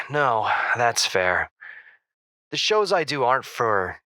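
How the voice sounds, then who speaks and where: A man's voice: mid-range voice, very animated, slow pace, sharp tone, no echo, heavily acted. A man playing a modest, slightly uneasy TV actor as a radio guest, hesitant and polite. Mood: modest, agreeable. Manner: hesitant, polite, nervous chuckles. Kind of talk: acted radio phone-in guest.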